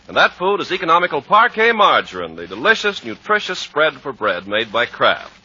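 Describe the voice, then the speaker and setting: Very bright, emphatic 1940s American radio announcer man. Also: a little high, breathy edge. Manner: emphatic, polished salesmanship. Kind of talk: 1940s radio ad read and scripted narration. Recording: muffled 1940s radio recording.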